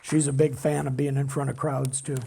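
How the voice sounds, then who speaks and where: An older man giving an earnest, halting public speech as a patient. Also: mid-range voice, little pitch movement, vocal fry, a loud crowd, very noisy. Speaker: patient speaking at an event.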